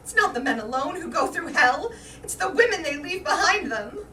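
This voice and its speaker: A woman's voice: sits high, big pitch swings, moderate pace, pushed and bright, heavily acted. A woman playing a vain, anxious aging wife in staged reader's theater, dramatic and emphatic. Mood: anguished. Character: vain, anxious aging wife awaiting her soldier husband. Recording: roomy, distant mic, faint noise.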